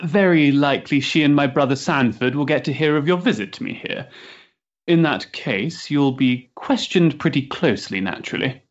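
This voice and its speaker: An actor playing a nervous, self-dramatising young Victorian gentleman with an effusive, theatrical manner in a period drama. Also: normal speed, muffled recording, close to an everyday voice. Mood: earnest. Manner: effusive, highly strung, theatrical. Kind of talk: period stage drama acting.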